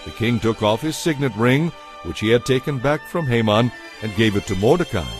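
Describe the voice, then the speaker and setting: Measured male narrator over music. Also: mid-range voice, lively intonation. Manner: measured, formal storytelling. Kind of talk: scripted audio Bible narration.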